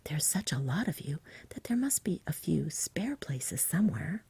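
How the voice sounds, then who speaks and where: A woman voicing a frank, patient, confident male knight in a breathy whisper, courteous and persuasive. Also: low-pitched, big pitch swings, moderate pace, dark tone, exaggerated voice. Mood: thoughtful.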